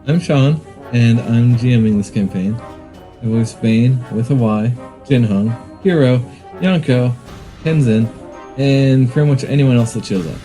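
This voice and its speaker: Mellow man introducing a show. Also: medium pitch, unhurried. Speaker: game master. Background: faint music.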